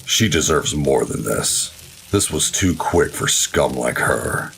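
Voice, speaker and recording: An actor playing a somber, guilt-ridden older brother and military veteran in a gritty crime audio drama. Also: male voice, very deep voice, expressive, slow, vocal fry, fairly bright tone, faint music behind, exaggerated voice, low-quality recording. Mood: somber, uneasy.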